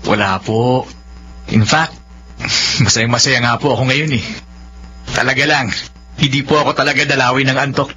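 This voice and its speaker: A man's voice: mid-range voice, steady tone, normal speed, long pauses, bright, forceful tone, slightly rough. A man playing a polite, lovestruck young son in a Filipino radio drama, respectful and earnest. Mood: reassuring. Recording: dull lo-fi with faint noise.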